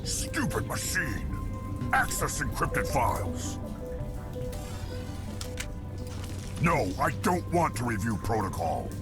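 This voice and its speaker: An actor playing a huge, hot-tempered alien mercenary in a video game, gruff and blunt with a gravelly voice. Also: male voice, medium pitch, very animated, measured pace, music in the background. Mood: furious.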